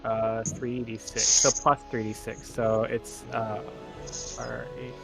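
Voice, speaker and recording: A man's voice: mid-range voice, little pitch movement, slow, vocal fry, music in the background. A man talking casually as a player in a tabletop roleplaying game.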